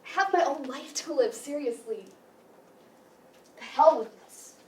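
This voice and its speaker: An actress playing a hurt, bitter, fed-up sister in a staged play reading. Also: female voice, high-pitched, measured pace. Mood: angry. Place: meeting room staged reading, distant camera. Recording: echoey room from far off, noisy.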